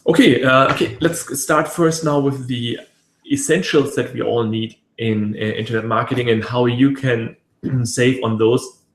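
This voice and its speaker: A man with a raspy voice hosting a casual webinar, rambling with many fillers and restarts. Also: medium pitch, steady tone, measured pace. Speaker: webinar host. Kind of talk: casual live webinar talk. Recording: echoey room.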